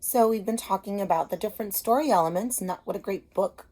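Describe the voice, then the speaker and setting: A woman's voice: mid-range voice, fairly quick, dark tone. A woman teacher giving a casual lesson to children, explaining simply and checking in with short questions.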